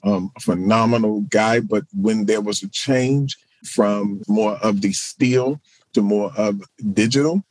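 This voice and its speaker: A man's voice: lowish voice, unhurried, darkish tone, dry recording, low-quality recording. A middle-aged man from Baltimore, a pastor and social work professor, answering interview questions in a reflective way with fillers and restarts. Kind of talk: interview guest.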